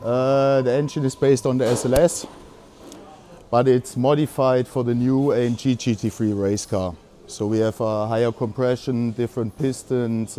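A man's voice: mid-range voice, dark tone. A man in his forties explaining engines to a group during a tour of an indoor workshop, in a plain, technical way. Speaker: engine builder.

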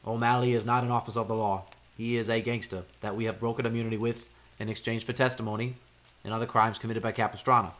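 An actor playing a stern, authoritative federal agent who speaks crisply, in an old-time radio drama. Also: male voice, mid-range voice, flat delivery, quick pace, a bit bright, close to an everyday voice. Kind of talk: 1930s radio drama acting.

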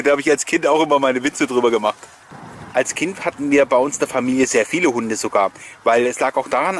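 A man's voice: mid-range voice, fairly bright tone. Man chatting informally over noticeable background noise. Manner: informal, rambling, often chuckling. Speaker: host of a collectors' nostalgia video channel. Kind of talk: chatty voice-over commentary with personal anecdotes.

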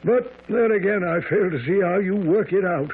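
An actor playing a genial, easily astonished gentleman doctor in a radio drama, speaking in a hearty, old-fashioned way. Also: sits high, normal speed, breathy edge. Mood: amazed, genial. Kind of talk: radio drama acting and narration. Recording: narrow lo-fi vintage radio sound.

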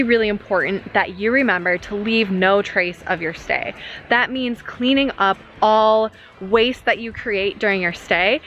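A woman's voice: a little high, fairly bright tone, highs cut off. A young woman vlogging, giving earnest, upbeat camping advice straight to the camera from her van and a forest campground. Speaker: travel vlogger. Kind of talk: casual explainer to camera. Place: inside a camper van and outdoors in a forest campground. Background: faint ambience, a river rushing nearby.

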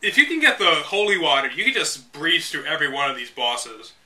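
A man's voice: on the high side, sing-song, fairly quick, pushed and bright, far from the mic, poor audio. A man hosting a read-aloud of a game guide, delivering it dramatically and breaking off for casual, sarcastic, exasperated asides. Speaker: host reading a game guide aloud with commentary.

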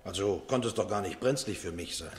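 A man's voice: deep voice, a little fast, slight background noise, close to an everyday voice. An actor playing an evasive, naive, disreputable accused man in a radio drama, talking hesitantly and colloquially. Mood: sheepish, defensive. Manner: hesitant, colloquial, tag questions.

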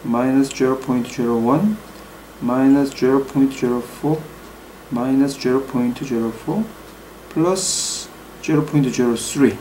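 A man with a Korean accent, a native Korean speaker, slowly working through calculations aloud at a desk in his room, hesitant, with many pauses and fillers. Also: mid-range voice, dark tone, a little hiss or hum, low-quality recording. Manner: slow and hesitant, full of pauses and fillers, reading numbers aloud. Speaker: hobby mechanic explaining engine work. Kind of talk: thinking-aloud tutorial. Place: small room at home.

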